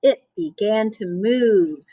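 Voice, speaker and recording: A woman reading a picture book aloud for children in a playful, expressive way, with chatty asides. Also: mid-range voice, takes it slowly, husky, no room sound, studio quality.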